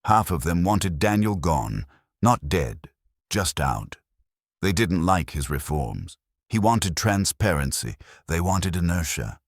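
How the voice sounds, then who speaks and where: Man reading as a cool, guarded professional woman, very deep. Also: sing-song, slow pace, no room sound, clean studio sound, full character voice. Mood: cool, pointed. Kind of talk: detective audiobook character dialogue.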